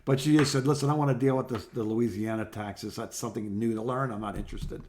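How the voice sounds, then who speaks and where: A man's voice: medium pitch, steady tone, fast talker, darkish tone, slight background noise. Middle-aged man, rambling casual chat. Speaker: podcast co-host. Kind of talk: casual chat on a phone call podcast.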